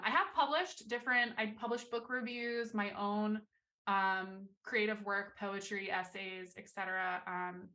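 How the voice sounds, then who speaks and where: Woman from maryland, low voice w bit of fry, bright edge, talks chatty w lots of um and like, roomy room a bit off mic. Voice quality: low voice with some vocal fry. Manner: chatty, many fillers and restarts. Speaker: guest, writer and teacher. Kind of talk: casual interview chat. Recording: roomy, somewhat far from mic.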